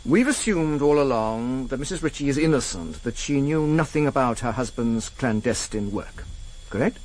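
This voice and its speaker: A man's voice: mid-range voice, expressive, normal speed, lightly acted, rough recording. A man acting in a radio drama as a pedantic, coolly menacing senior intelligence official, with a fussy, precise, insinuating manner. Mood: probing.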